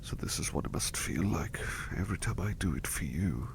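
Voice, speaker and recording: A man playing a gentle, devoted butler in a soft ASMR roleplay, bashful and effusively polite, repeating his phrases in a halting, hushed way. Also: low-pitched, lively intonation, creaky, noisy background. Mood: drowsy, tender. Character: gentle devoted male butler, bashful, eager to please, doting.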